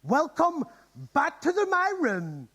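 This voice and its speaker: A man's voice: extremely high pitch, wildly swooping pitch, takes it slowly, a bit bright, exaggerated voice. An actor playing an exasperated, sarcastic young man in a radio comedy play. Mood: upbeat. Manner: flustered, sarcastic.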